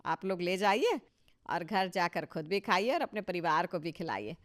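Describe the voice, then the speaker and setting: A woman's voice: fairly low pitch, big pitch swings, normal speed. A woman playing a proud, touchy older mother-in-law in a cartoon story, talking emphatically and with self-satisfaction. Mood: proud, gracious. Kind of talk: Hindi cartoon story dialogue.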